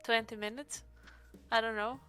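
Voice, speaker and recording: A woman's voice: a little high, steady tone, normal speed, a bit bright, faint music behind. A woman playing a tabletop game, chatting casually and describing her moves in a conversational, slightly hesitant way.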